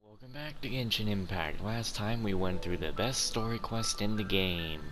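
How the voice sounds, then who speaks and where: A man's voice: fairly low pitch, normal speed. A man giving casual, informal playthrough commentary as a gaming host, with a wry manner. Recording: loud game music behind voice. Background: video game music and dialogue.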